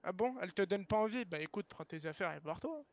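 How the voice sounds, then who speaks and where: A man's voice: high-pitched, lively intonation, quick pace, highs cut off. A man arguing bluntly and in exasperation in online game voice chat, as the server's founder. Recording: clearly noisy game voice chat.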